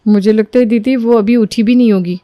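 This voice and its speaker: A woman voicing an obedient young bride who speaks in a hurried, nervous way. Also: a little high, fairly even intonation, no echo, dull audio, close to an everyday voice.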